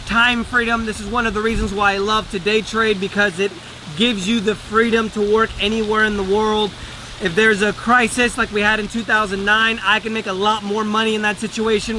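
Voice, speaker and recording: A man's voice: high voice, sharp tone, a little hiss or hum, low-quality recording. A man giving a casual, earnest motivational talk to camera, repeating words for emphasis. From Venezuela. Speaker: day trader and trading teacher.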